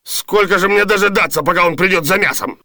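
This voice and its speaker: A man's voice: sits high, a little fast, pushed and bright, full character voice. A man playing a grumbling, sarcastic inn waiter in a comic radio play, recorded in a reverberant space. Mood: impatient. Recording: reverberant hall, faint hiss.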